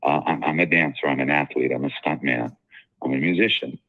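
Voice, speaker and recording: A man with a very deep voice calling in to a radio advice show, talking in a confident, articulate way with many fillers. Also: a little fast. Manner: articulate, self-assured, rambling with fillers. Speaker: caller. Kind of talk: casual call-in chat. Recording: tinny phone line.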